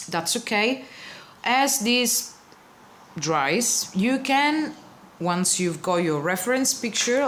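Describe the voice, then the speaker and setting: A woman's voice: medium pitch, a little hiss or hum. A woman with a non-native English accent giving a casual art tutorial, chatty and apologetic, often searching for words. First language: not English. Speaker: card-altering artist.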